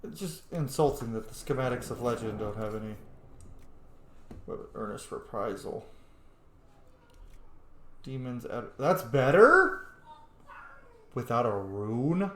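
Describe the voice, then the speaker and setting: A man doing casual let's-play commentary, thinking out loud as he plays a video game. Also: medium pitch, very animated, slow pace, long pauses. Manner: thinks out loud, muttering item names. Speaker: video game player narrating a roleplay playthrough. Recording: roomy echo, background noise.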